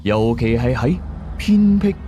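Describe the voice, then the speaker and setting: A man narrating a scripted first-person horror story in a measured, dramatic way, drawing out the ends of some phrases. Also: mid-range voice, sing-song, muffled recording, over music. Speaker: storyteller of a radio ghost-story series.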